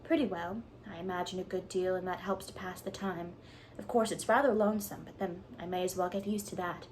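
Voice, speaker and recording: A woman's voice: fairly low pitch, fairly even intonation, a little fast, a little mellow, a little hiss or hum, natural voice, rough recording. Woman voicing a dramatic, pleading orphan girl. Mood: earnest. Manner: breathless, pleading, theatrical.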